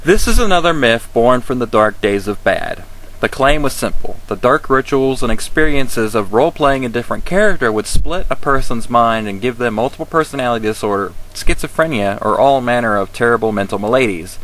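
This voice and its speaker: A man's voice: mid-range voice, lively intonation, a little fast, a bit bright, poor audio. A man hosting a web series, reading scripted comic commentary in a sarcastic, emphatic way. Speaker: host of a web series on roleplaying games.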